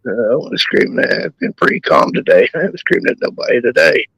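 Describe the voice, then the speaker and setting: A man's voice: medium pitch, very animated, fast, a bit bright, dry recording, low-quality recording. A man who is losing his voice, talking in casual crude banter as a caller on a live stream chat show, laid-back and rambling. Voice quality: gravelly, husky.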